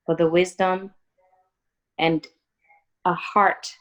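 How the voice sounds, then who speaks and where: A woman leads a spoken prayer over a video call, earnest and reverent. Her voice sits very low. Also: level tone, very slow. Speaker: prayer leader.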